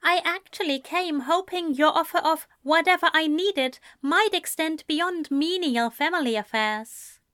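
Polite young man, formal and clipped, voiced higher by a woman narrator. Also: a little slow, hardly any pauses, fairly bright tone, no room sound, studio quality. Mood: earnest.

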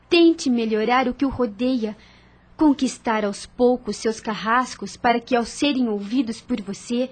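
An actress playing a compassionate, grave young plantation lady in a radio drama, earnest and measured, with a Brazilian accent. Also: a little high, darkish tone. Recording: music under the voice.

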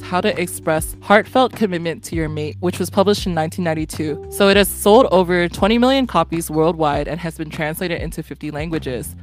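Young woman podcast host, lowish voice, earnest and chatty, soft music behind. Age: 20s. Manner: warm, earnest, often laughing, many fillers. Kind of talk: casual podcast chat.

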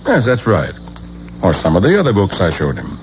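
Persuasive male scholar of murder, animated, coaxing, muffled vintage radio drama. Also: low-pitched. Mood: assured, grave. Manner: deliberate, coaxing. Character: eager, persuasive author of books on murderers. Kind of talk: 1970s radio drama acting. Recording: dull, faintly noisy old radio recording.

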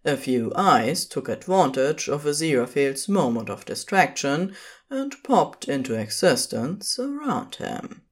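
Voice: mid-range voice, sing-song, measured pace, breathy edge. A narrator reading scripted fiction for an audio drama.

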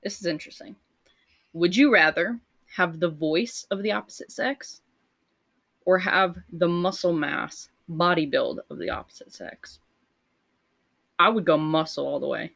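A young woman with a low voice co-hosting a casual podcast, reading out would-you-rather questions and laughing often. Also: unhurried, vocal fry, long pauses, a bit bright. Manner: giggly, loose and chatty. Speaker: podcast co-host.

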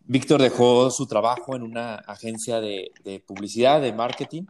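A man's voice: mid-range voice, moderate pace, a little mellow, a little hiss or hum. A man interviewing a guest, asking questions steadily and using "este" as a filler.

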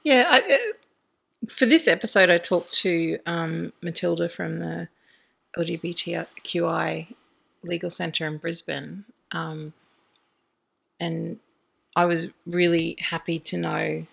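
A woman's voice: fairly low pitch, slow pace, gravelly, fairly bright tone. A woman hosting a podcast, chatting casually with a teasing, wry manner. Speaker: podcast host. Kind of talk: casual conversation.

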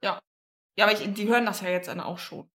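A young woman co-hosting a casual podcast chat, loud and laughing, with frequent swearing. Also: mid-range voice, fairly even intonation, quick pace. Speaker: podcast co-host.

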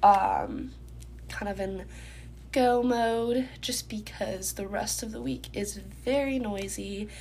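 A woman's voice: medium pitch, slow pace, a little mellow. Congested young woman hosting a podcast. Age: young adult. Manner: chatty, many ums, getting ready while talking. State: congested, stuffy nose, sore throat. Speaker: podcast host. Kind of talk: casual solo podcast chat.

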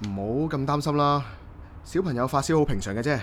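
A man acting a kind, calm stranger in a radio drama, gentle and reassuring, with a Hong Kong Cantonese accent. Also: on the high side, quick pace, slight background noise, natural voice. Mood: concerned, comforting. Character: kind, calm, reassuring male bus acquaintance.

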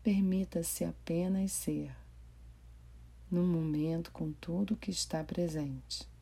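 Brazilian lady doing guided meditation, soft low mellow voice, gentle and takes her time. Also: steady tone, gravelly, slightly rough. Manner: gentle, unhurried, with long pauses. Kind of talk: guided body scan meditation.

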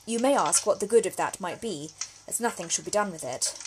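A woman's voice: mid-range voice, expressive, brisk, background noise, close to an everyday voice. A woman playing a genteel, frank young Victorian letter writer in an audio drama monologue, speaking with formal, precise diction. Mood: earnest. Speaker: actor reading a solo audio drama. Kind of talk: period monologue, letters read aloud.

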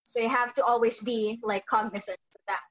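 Thoughtful higher-voiced woman, hesitant. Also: fairly even intonation, brisk, rough recording. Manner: reflective, hesitant, many fillers and restarts. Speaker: panelist. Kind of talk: casual panel chat.

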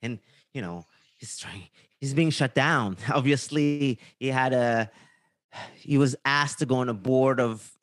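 A man from Haiti, a guest in a casual interview, speaking in an earnest, measured, slightly hesitant way. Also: mid-range voice, no room sound, studio quality.

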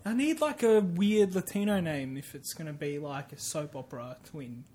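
Casting note: male co-host with a higher voice, playful, riffs along with gags. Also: moderate pace, warm tone, slight background noise. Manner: playful, riffing on jokes. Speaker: podcast co-host. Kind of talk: casual gaming chat.

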